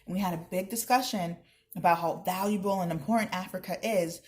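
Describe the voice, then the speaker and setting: A woman's voice: lowish voice, a little fast. A woman teacher talking directly to students as she introduces a read-aloud, explaining things in an instructional way. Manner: explanatory, addressing children directly.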